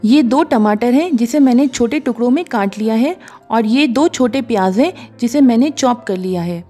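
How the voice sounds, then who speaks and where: A woman calmly narrating a cooking recipe step by step as a voice-over, slipping English words into her sentences. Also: fairly high pitch, moderate pace. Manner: calm, instructive, mixes English words into Hindi. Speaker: cooking show host. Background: soft background music.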